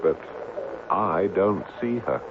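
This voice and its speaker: An actor playing a wistful, evasive young English gentleman in a radio drama, hesitant and guarded in his speech. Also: deep voice, lively intonation, takes it slowly, vocal fry, full character voice. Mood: guarded, haunted. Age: young adult. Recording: tinny, noisy old radio broadcast.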